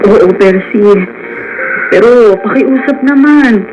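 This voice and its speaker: A woman's voice: on the high side, slow pace, noisy background. A woman playing a worried, loving middle-aged wife and mother in a Filipino radio drama, tender and pleading. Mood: tearful pleading. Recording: muffled radio broadcast, voices behind.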